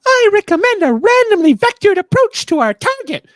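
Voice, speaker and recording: A woman playing an eager, dutiful junior starship ensign in a fan radio play, with a squeaky voice. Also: big pitch swings, moderate pace, no room sound.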